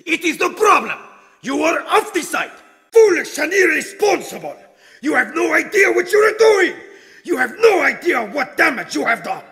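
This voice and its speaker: A man's voice: very high-pitched, sing-song, moderate pace, bright, forceful tone, soft background music, heavily acted. An actor playing a stern, scornful male archaeologist in a video game, harsh and commanding as he scolds his student. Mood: scolding. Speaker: archaeologist mentor.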